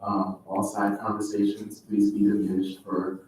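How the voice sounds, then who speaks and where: A young man with a fairly low voice hosts a student gathering, politely giving instructions. He sounds distant in a very echoey room. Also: completely flat delivery, moderate pace, darkish tone, a little hiss or hum. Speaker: student event host. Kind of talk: informal event announcing to a group. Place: large indoor room at a student event.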